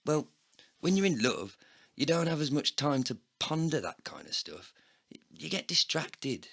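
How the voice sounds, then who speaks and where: A man's voice: on the high side, sing-song, measured pace, creaky, fairly bright tone, breathy edge, voice pushed up, heavily acted. A man playing a bitter, despairing, foul-mouthed drinker in a comedy audio play, ranting and exasperated.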